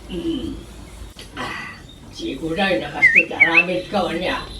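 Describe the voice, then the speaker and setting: A woman's voice: lowish voice, huge pitch swings, a little slow, heavy background noise. Woman acting a swooping, grumbling old Ilocano gossip. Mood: muttering, sulky. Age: older. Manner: grumbling, muttering, swooping into outbursts. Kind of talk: comedic radio drama dialogue.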